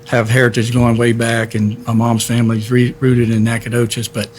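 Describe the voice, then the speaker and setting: Man in his fifties, interview guest, measured, filler words, mid pitch, slight background hum. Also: monotone. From born in Hawaii, raised in Texas. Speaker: guest, history center director. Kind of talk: conversational interview.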